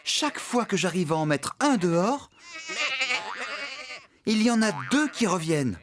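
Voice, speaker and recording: A man's voice: high voice, sing-song, measured pace, a crowd in the background. A man voicing an easygoing, untidy farmhand in a children's cartoon. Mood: worried, pleading. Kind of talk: French children's cartoon dubbing.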